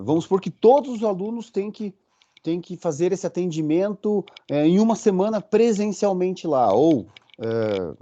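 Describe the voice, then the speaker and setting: A man's voice: a little high, mellow, dull audio. A man with a Brazilian accent giving a recorded university lecture. He explains step by step and often checks in with the class using short fillers. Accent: Brazilian Portuguese.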